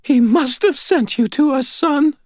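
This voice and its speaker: A man's voice: very high-pitched, big pitch swings, measured pace, no echo, clean studio sound, voice pushed up, full character voice. A man voicing an elderly landlady in an audiobook, a frightened, devout and grateful woman with a quavering voice and fervent exclamations. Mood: tearful, grateful.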